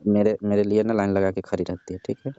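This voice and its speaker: A man on a phone call who sounds grumbling and argumentative, with a mid-pitched, dark voice. The line is muffled and dry, like a recorded phone call. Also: little pitch movement, fast talker, clean tone, dry recording. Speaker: caller. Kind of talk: scripted comedy phone call.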